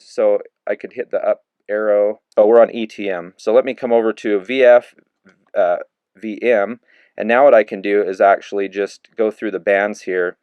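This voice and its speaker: A man giving a relaxed, casual hands-on review of a handheld radio, narrating each step with plenty of fillers as he works the controls. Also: fairly low pitch, clear, resonant tone, dry recording, muffled recording. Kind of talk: casual hands-on product demo.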